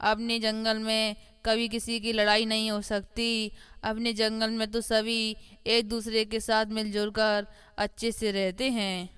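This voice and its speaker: Woman voicing a smooth, monotone mother goat. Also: a little high, measured pace, no echo. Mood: respectful. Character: worried, humble ageing mother goat, deferential. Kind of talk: Hindi animated moral-story voice acting.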